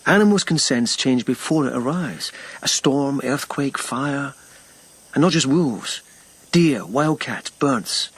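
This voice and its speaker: A reserved, thoughtful police lieutenant of ancient Japan. His voice is mid-pitched and husky. He tells things reflectively, in short halting phrases, in a naturalistic radio drama style. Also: expressive. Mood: earnest, pondering.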